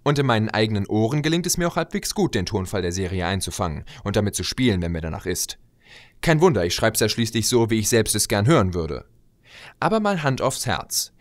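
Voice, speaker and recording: A man delivering a scripted opinion monologue as a video blogger, conversational and expressive with rhetorical asides. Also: lowish voice, no room sound. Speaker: video blogger and fan audio drama maker.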